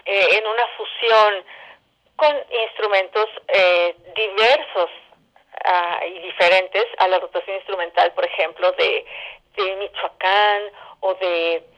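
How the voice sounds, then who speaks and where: A woman with a Mexican accent giving a radio interview as a guest, speaking haltingly with searching pauses. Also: mid-range voice, fairly even intonation, muffled recording. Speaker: guest, theatre company director.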